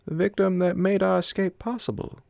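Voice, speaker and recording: A man acts out an uneasy male traveler from a horror story, pitched well up high. He sounds shaken and hesitant, in a dry, close recording. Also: flat delivery, fairly quick, gravelly, a little mellow, no room sound. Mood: grave. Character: Swedish male travel companion, shaken, eerily relieved. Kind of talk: audiobook horror story character dialogue.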